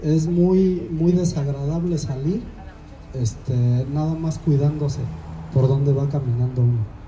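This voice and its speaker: A man's voice: on the high side, slow, warm tone, muffled recording, slight background noise. A man, a resident speaking hesitantly at an outdoor assembly, with many fillers.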